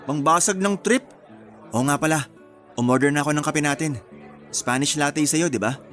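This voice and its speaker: A man's voice: fairly high pitch, moderate pace, fairly bright tone, soft background music, close to an everyday voice. An actor playing a teasing, smitten engaged man in his thirties in a romantic radio drama. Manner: playful, flirtatious teasing.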